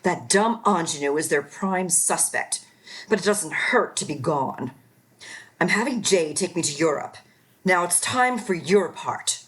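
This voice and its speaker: Slow, sultry scheming society woman, played by an actress. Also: on the low side, lively intonation, fairly bright tone. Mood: cool, scheming. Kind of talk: radio play acting.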